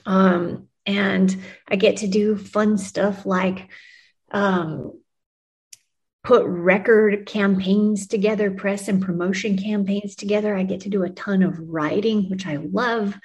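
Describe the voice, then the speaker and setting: A woman's voice: medium pitch, steady tone, slow pace, darkish tone. A woman from New Mexico, a podcast guest, chatting casually with frequent ums and laughter. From New Mexico, USA. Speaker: podcast guest, music publicist. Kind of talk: casual conversational interview.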